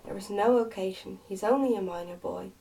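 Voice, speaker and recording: A woman's voice: mid-range voice, brisk, gravelly, dark tone, distant mic, natural voice, poor audio. A woman voicing a fussy, strict nursemaid from a storybook, with a prim, scolding manner. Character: fussy, strict nursemaid minding a young princess.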